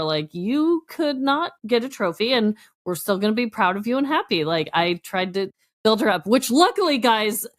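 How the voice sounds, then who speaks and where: Female podcast co-host, mid-range voice, casual storyteller, self-mocking, laughs while talking, acts out quotes. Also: medium pitch. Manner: animated retelling with acted-out quotes, self-deprecating. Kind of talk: casual chat, personal storytelling.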